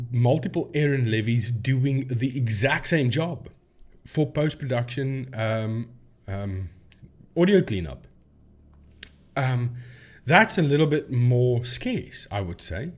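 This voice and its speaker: Emphatic man explaining on camera commentary. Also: medium pitch. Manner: emphatic, repeats phrases for stress. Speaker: video host debunking paranormal claims. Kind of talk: explanatory commentary with screen demonstration.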